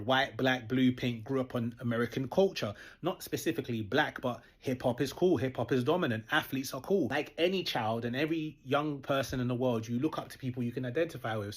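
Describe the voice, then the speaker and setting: A young man, lecturing forcefully in a reaction video. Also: mid-range voice. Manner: forceful, lecturing, quoting others mockingly. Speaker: commentator. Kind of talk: direct-to-camera reaction video.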